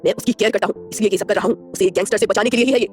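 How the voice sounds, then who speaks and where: A woman's voice: mid-range voice, racing, darkish tone, faint music behind, lightly acted. A woman voicing a stern, controlling older brother who scolds harshly. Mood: angry.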